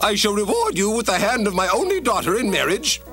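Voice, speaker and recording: Elderly cartoon king, high pitch, very animated, pompous, flustered bluster, music behind. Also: fairly quick, exaggerated voice. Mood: regal. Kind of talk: animated cartoon character acting.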